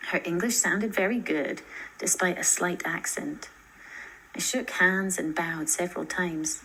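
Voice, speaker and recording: A woman's voice: fairly low pitch, steady tone, a little slow, a bit bright, husky, rough recording. A woman reading a scripted story aloud as its narrator.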